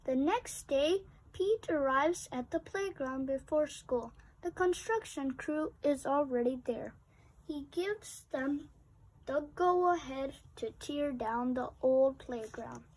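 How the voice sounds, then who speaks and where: Young female child reader, high-pitched and unhurried, expressive picture-book read-aloud. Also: rough recording. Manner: acts out sound effects emphatically. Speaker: storybook reader.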